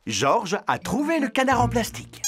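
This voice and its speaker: A man narrating a children's cartoon from a script in an expressive, storybook-like way. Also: very high-pitched, normal speed, breathy edge.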